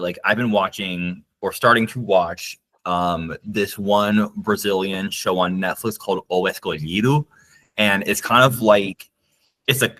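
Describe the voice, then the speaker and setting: A man with a somewhat low voice, chatting casually on a podcast. He talks hesitantly and leans heavily on fillers as he looks for words. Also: fairly bright tone. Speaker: podcast co-host. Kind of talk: casual chat.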